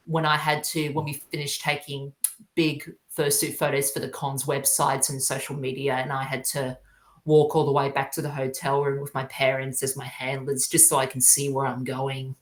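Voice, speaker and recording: A woman chatting casually as a podcast guest, halting and often repeating her phrases. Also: extremely low pitch, little pitch movement. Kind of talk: casual chat. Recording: roomy, echoey sound.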